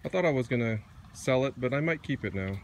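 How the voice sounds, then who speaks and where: A man's voice: medium pitch, lively intonation, a little hiss or hum. A middle-aged man casually walking through his outdoor campsite, filming on a handheld phone and pointing out gear, sometimes correcting himself. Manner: unhurried, pointing things out, small self-corrections. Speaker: camper giving a campsite tour. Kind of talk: casual walkthrough narration. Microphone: handheld phone camera, speaker behind it.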